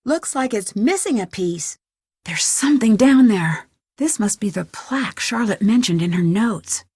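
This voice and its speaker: A woman's voice: medium pitch, animated, measured pace, darkish tone, husky, dry recording, clean studio sound. A young woman playing a curious, plucky amateur detective in an adventure video game, thinking aloud to herself. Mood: intent, puzzling. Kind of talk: scripted adventure game voice lines.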